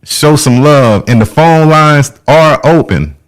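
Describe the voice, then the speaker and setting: A man hosting a live music interview in slangy, hyped casual chat, full of shout-outs. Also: on the high side, expressive, slow.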